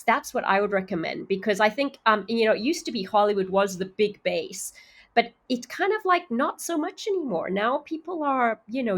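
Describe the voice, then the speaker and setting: A woman's voice: on the high side, fairly bright tone. A woman from Zimbabwe who speaks with an American accent that carries faint Zimbabwean traces, chatting as an actor guest in an interview, full of fillers and restarts. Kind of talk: casual interview chat.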